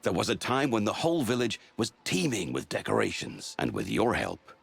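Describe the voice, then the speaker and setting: A man's voice: on the low side, huge pitch swings, a little fast, creaky, fairly bright tone, breathy edge, heavily acted. An actor playing a pompous, self-important male village decorator with a grandiose manner in a video game. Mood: grand, zealous.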